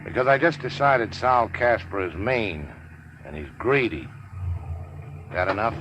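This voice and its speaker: A man's voice: lowish voice, animated, a little slow, fairly bright tone. An actor playing a hard-boiled, cynical henchman in a radio crime drama, with clipped, tough, sardonic delivery. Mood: tough, businesslike. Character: hard-boiled cynical male racketeer's henchman, curt and controlling. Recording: muffled, noisy old radio recording.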